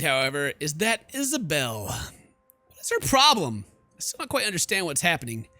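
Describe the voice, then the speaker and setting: Bright, high-voiced man doing let's play commentary. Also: no room sound. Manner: animated, jokey reactions. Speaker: gaming streamer. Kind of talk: let's play commentary, reading game narration aloud.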